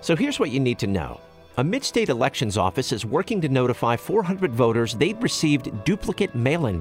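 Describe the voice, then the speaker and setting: An American man hosting radio news, reading scripted stories in a measured, explanatory way. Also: fairly low pitch.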